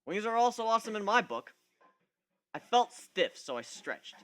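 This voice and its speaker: A man's voice: high voice, sing-song, moderate pace, fairly bright tone. A man giving a sarcastic, theatrical first-person dramatic reading of a story. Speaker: narrator.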